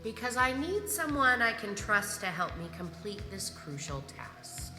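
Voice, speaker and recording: A woman playing a ruthless, obsessive grand marshal in a science fiction audio drama, speaking formally and deliberately with command. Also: medium pitch, very animated. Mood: cold, commanding. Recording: echoey hall reverb, music underneath.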